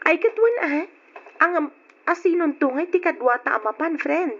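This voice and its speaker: A woman playing a young mother in a radio drama, dramatic in delivery, with a breathy voice. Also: sits high, animated, normal speed. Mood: lively chatting. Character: hurt but forgiving young mother, firm and dignified. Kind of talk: old-style radio drama acting. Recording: dull lo-fi radio drama audio.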